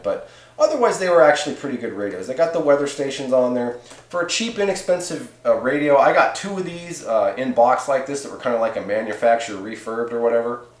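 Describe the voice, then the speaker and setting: A man giving a casual, rambling, off-the-cuff product review in a laid-back, colloquial way. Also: mid-range voice. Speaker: host of CB radio review videos. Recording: echoey room sound.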